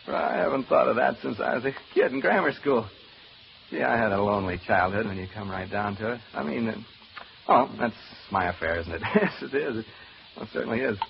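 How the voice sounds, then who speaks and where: Nervous stammering radio host guy in a spooky house, swoopy pitch, muffled hissy old horror show. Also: lively intonation, fairly quick, creaky. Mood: nervously giddy. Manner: nervous, stammering, rambling, giggly asides to listeners. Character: jittery, rambling radio broadcaster alone in a haunted house, slowly unraveling. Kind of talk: old-time radio horror drama acting. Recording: muffled old radio recording, background hiss.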